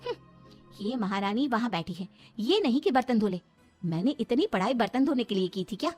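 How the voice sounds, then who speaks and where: Young educated daughter-in-law played by a woman, high voice, haughty, sly, snide, cartoon story over music. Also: sing-song, a little fast, a little mellow, slightly rough. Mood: resentful. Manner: snide, scornful, drawling.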